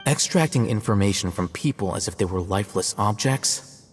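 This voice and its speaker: A composed, scholarly scribe in a video game, laying out his reasoning in a calm, deliberate way. His voice sits a little low. A long reverb and faint game music surround him. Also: husky. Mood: reasoned. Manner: calm, measured, explanatory. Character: calm male academy scribe, scholarly and measured.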